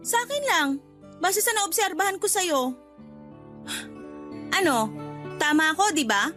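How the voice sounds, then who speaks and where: Very high-pitched, pouty Filipina sweetheart. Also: female voice, expressive, a little slow, pushed and bright, faint music behind, exaggerated voice. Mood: prodding, suspicious. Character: demanding young woman being courted, nosy and confrontational. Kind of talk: radio drama acting in casual dialogue.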